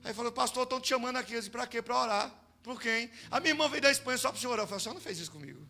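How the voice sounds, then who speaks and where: A man with a Brazilian Portuguese accent, preaching and telling stories to a church congregation, swinging between shouted exhortation and hushed storytelling. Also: extremely high pitch, animated, very fast, vocal fry. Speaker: pastor. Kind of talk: sermon with storytelling. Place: church service.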